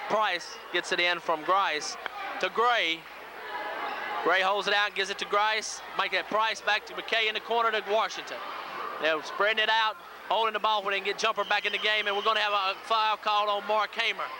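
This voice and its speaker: Male local-radio sportscaster, high voice, terse basketball play-by-play, noisy old broadcast tape. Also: moderate pace. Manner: clipped short phrases, excited on big plays. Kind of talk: live basketball play-by-play commentary. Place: high school gym. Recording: noisy 1980s local FM radio audio from VHS. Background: loud crowd, bouncing ball, gym noise.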